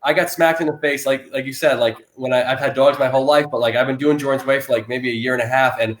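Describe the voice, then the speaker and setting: A man hosting an animal rescue live stream, talking loudly in a rambling way full of restarts and fillers. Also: mid-range voice, fairly even intonation, quick pace, roomy sound. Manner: loud, self-described loud person. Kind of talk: casual live-stream interview.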